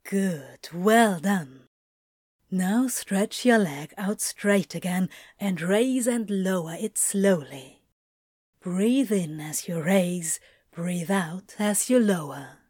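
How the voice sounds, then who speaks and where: Woman voicing a slow, teasing male exercise instructor. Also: medium pitch, expressive, darkish tone, no echo, clean studio sound. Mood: instructing. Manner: playful, coaxing, firm. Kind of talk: acted audio fiction reading.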